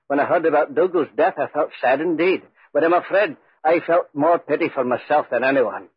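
A man's voice: mid-range voice, husky, lightly acted. A man playing an aggrieved, stubborn country piper who tells his ghostly tale in 1940s radio style. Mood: sad, grim. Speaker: actor and narrator in radio drama. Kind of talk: 1940s radio acting, first-person storytelling. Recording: roomy, muffled 1940s radio recording.

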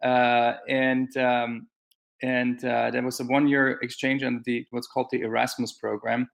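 A man's voice: mid-range voice, little pitch movement, normal speed, creaky. A man in his forties from Austria, a guest answering interview questions over a teleconference, hesitant with many fillers and restarts. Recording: teleconference call audio.

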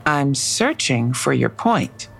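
A woman playing a guarded, weary police detective in an audio drama, with a creaky voice and a hesitant manner. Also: a bass voice, sing-song, slow, faint music behind, exaggerated voice. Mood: defensive. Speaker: actor in a fiction podcast. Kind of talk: naturalistic audio drama acting.

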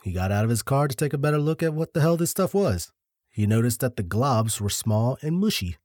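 A man co-hosting a podcast, telling a story in a laid-back, deliberate way with dry jokes, a little short of breath. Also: mid-range voice, very animated, darkish tone, no echo. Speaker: podcast co-host.

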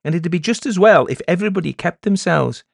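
A man's voice: a little high, moderate pace, fairly bright tone, dry recording, studio quality, voice pushed up. A man voicing a big, proud village leader in a storybook tale, commanding, blustering and mocking. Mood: commanding, angry. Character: big, domineering village man, gruff, scornful, proud charm-worker. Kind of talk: storybook character voice in a narrated tale.

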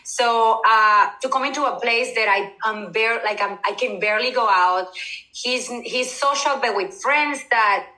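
A Colombian woman, a native Spanish speaker, speaks with a Colombian Spanish accent as a podcast guest. Her voice is bright and sharp and sits a little high. She speaks openly and often stops to rephrase. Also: measured pace, roomy sound, rough recording. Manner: candid, with restarts and fillers in her second language. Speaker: guest, former reality TV participant. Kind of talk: casual interview.

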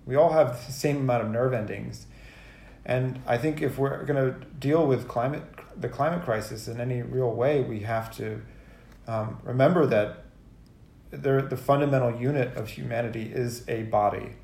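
A man giving a reflective, earnest interview answer as a guest, halting and repeating words as he goes. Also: medium pitch, vocal fry, darkish tone. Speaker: guest poet and editor. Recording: roomy, echoey sound.

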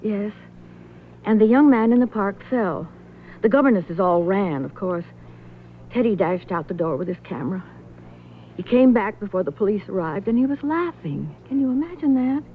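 A woman's voice: medium pitch, close to an everyday voice. A woman playing an uneasy, hesitant widow confiding what she knows in a 1970s radio drama. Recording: muffled, slightly noisy vintage radio recording.

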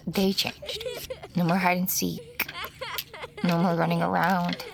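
A woman playing a haunted, regretful mother who narrates a dark past in a halting, confessional audio drama style, often dropping toward a whisper. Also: fairly low pitch, wildly swooping pitch, gravelly, noisy background, heavily acted. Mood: sorrowful.

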